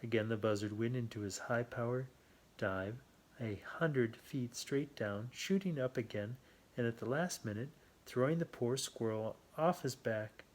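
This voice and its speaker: A man reading a children's story aloud with playful, drawn-out emphasis and chatty asides. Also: medium pitch. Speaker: children's story reader and host.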